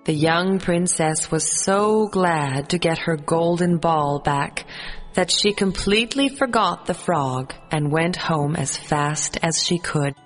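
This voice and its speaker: A woman narrating a children's fairy tale as a storyteller, in a measured storybook reading. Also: lowish voice, big-room sound, faint music behind.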